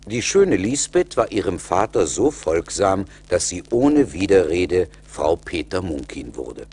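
Deep, slow male storyteller. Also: sing-song, a little mellow, slightly rough. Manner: measured, deliberate. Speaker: narrator. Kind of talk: scripted storytelling narration.

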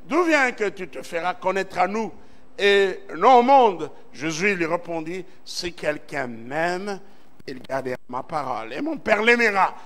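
High-voiced preaching pastor from Central Congo. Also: male voice, expressive, measured pace, fairly bright tone. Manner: preaching with emphatic swells and call-and-response amens. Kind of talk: sermon. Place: church service.

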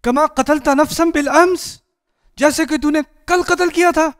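A man in his forties giving a lively sermon on a stage, moving and gesturing as he speaks, acting out the people in the story through a headset mic. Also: moderate pace, dry recording, clean studio sound. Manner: animated, gestures widely while pacing a stage; acts out voices of people in the story, rising high for a shout. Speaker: preacher. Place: indoor stage before a patterned backdrop.

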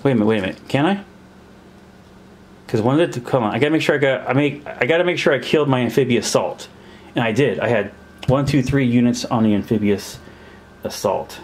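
A man's voice: medium pitch, fairly quick. A man playing a war board game at a table, counting pieces aloud and puzzling over the rules as he goes. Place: indoors at a table.

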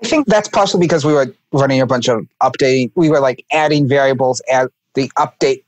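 A man's voice: medium pitch, quick pace, dry recording. A man chatting casually about technical research work, informal and often saying "like". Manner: informal, peppers talk with "like". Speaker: researcher and programmer on a podcast.

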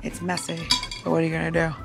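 A woman with noticeable vocal fry talks through a cocktail recipe in a casual podcast style. She is chatty and informal, poking fun at herself, with music faintly behind her. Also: sits low, completely flat delivery, fast. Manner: informal, chatty, self-deprecating. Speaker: podcast co-host and bartender.

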